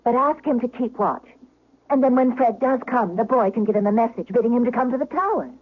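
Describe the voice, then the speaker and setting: An actress playing a refined, insistent duchess in a 1970s radio drama, urgent and imploring. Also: female voice, on the high side, fairly quick, a little hiss or hum, natural voice. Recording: narrowband vintage radio recording.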